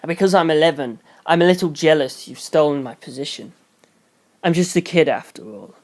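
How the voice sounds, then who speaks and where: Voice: medium pitch, a little fast, natural voice. A narrator voicing an eleven-year-old boy detective in an audiobook, earnest, intense and grandly self-certain, given to pompous speeches. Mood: wistful, jealous.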